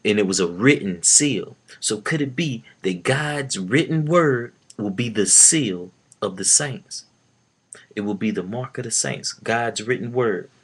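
A man in his thirties preaches at home into a laptop webcam, his voice sitting fairly low. He reads scripture with mounting force and circles back over his own phrases for emphasis. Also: animated, a little slow, slightly rough. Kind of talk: informal Bible teaching to a webcam. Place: small room at home, indoors.

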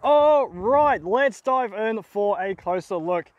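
Aussie guy, young, pretty high voice, all hyped and exclaiming, talking outside in the bush. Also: takes it slowly, no room sound, muffled recording. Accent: Australian. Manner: excitable, exclaiming. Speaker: car wreck hunter, channel host. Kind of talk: enthusiastic outdoor vlog. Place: outdoors in open dry bushland.

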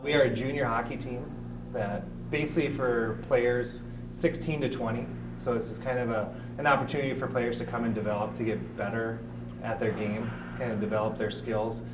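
A middle-aged man, a hockey head coach and general manager, speaking in an on-camera interview in an indoor ice rink, relaxed and matter-of-fact. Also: mid-range voice, moderate pace, far from the mic, background noise. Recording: echoey rink from off-mic with loud background.

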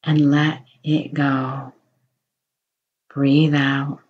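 A woman guiding a meditation in a slow, soothing voice, offering gentle reassurance. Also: a bass voice, little pitch movement, highs cut off. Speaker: meditation guide. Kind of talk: guided meditation.

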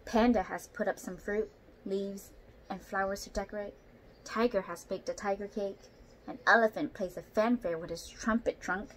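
A woman's voice: medium pitch, fairly even intonation, normal speed. A young woman reading a picture book aloud expressively, with asides to young listeners. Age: young adult. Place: small indoor room at home. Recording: noisy home room recording.

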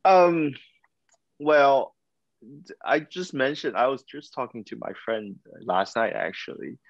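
A young man from Taiwan, talking casually over a video call as a guest, with many pauses and fillers. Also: medium pitch, very animated, creaky, no room sound. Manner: hesitant, many fillers and restarts. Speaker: whisky brand ambassador guest. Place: indoors, room with whisky bottles and banners. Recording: dull lo-fi call audio.

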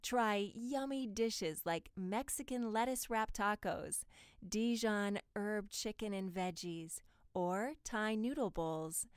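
A woman reads promotional copy as a voice-over artist. Her voice is smooth and resonant, and she takes her time with a polished, carefully enunciated delivery. The sound is close and dry, with no room echo. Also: medium pitch. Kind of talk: scripted promotional voice-over for health and diet ads.

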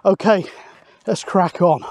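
A man's voice: high voice, slow pace, a little mellow, no room sound. A British man in his forties chatting casually to camera as a vlogger, recorded outdoors on an open hilltop. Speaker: vlogger, CB radio hobbyist. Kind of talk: casual outdoor vlog talk.

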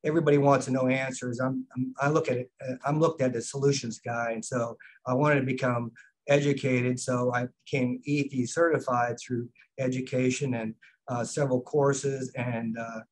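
Older man with a flat, halting delivery. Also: mid-range voice, poor audio. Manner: halting, many fillers. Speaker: fleet management consultant. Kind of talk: video call interview. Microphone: video call.

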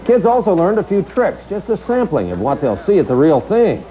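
A man's voice: high-pitched, huge pitch swings, moderate pace, dark tone, poor audio. A man with an American accent, a local news co-anchor reading stories, on a hissy nineties VHS recording. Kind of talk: scripted news narration.

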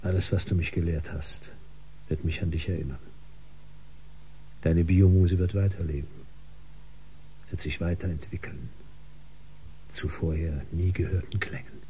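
An actor in a German science fiction radio drama playing a solemn, controlled Mars lander pilot who speaks in measured, precise phrases. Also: male voice, sits low, steady tone, warm tone, a little hiss or hum, rough recording. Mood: solemn, tender.

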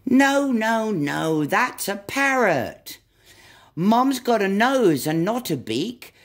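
A woman's voice: medium pitch, big pitch swings, slow, voice pushed up, heavily acted. A woman reading a storybook aloud as a lost, upset young monkey who protests in exasperation. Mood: exasperated.

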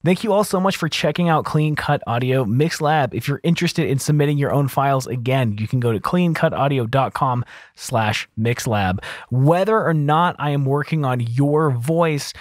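A man's voice: medium pitch, normal speed, no echo. A man in his thirties giving a casual tutorial as an audio engineer, close on a broadcast mic. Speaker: audio engineer host of a mixing series. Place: small home studio room, indoors. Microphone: close large broadcast mic on an arm.

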